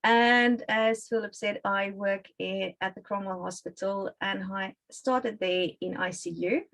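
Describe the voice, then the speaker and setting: South African woman, mid-pitched, chatty nurse presenter on a video call. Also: fairly even intonation, a little slow, a bit bright. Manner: friendly, chatty storytelling with frequent ums. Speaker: guest speaker, ICU nurse. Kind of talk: webinar presentation.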